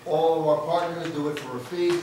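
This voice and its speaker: A hoarse man presenting yearly results at an annual meeting in an earnest, proud manner. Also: a little high, steady tone, quick pace, heavy background noise. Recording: very echoey hall, far from mic.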